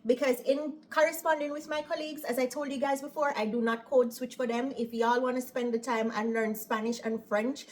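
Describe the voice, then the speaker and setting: A woman's voice: high-pitched, fairly even intonation, a little fast, few pauses, a little hiss or hum. A woman from Jamaica with a Jamaican accent, a nurse chatting casually to her viewers and often asking "right?". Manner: chatty, opinionated, tags lines with "right?". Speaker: operating room nurse. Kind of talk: casual vlog storytelling.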